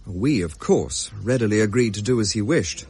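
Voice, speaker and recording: An actor playing an English gentleman who recounts a ghostly tale in a measured, old-fashioned audio drama style. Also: fairly low pitch, animated, slightly rough. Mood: grave, measured. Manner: measured, genteel, grave.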